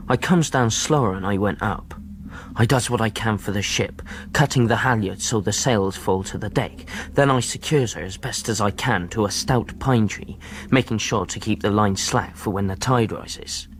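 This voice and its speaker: A man's voice: on the low side, moderate pace, a little hiss or hum, lightly acted. A man playing an earnest seafaring lad who narrates his own adventure in dialect, in a radio drama. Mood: grave recounting. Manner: rustic dialect, earnest storytelling. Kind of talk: dramatised first-person narration.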